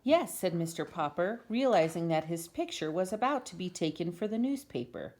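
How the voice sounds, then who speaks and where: Female storyteller reading aloud to family: lively, swinging intonation on a lowish voice, expressive narration. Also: normal speed. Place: at home.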